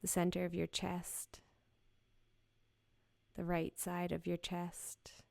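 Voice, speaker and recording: Woman doing yoga nidra body scan, lowish voice, really flat and soothing, short phrases w gaps. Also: creaky, no room sound. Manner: gentle, unhurried, soothing, with short spaced phrases. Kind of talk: guided meditation, slow body scan.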